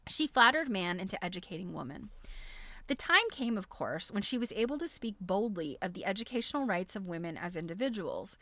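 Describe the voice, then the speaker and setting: A woman with a mid-range voice reads aloud as a streaming host. Her delivery is expressive and amused, moving between bookish narration and casual, chatty asides. The sound is dry, with very little room in it. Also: mid-range voice, a little fast, dry recording. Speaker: host of a live reading stream.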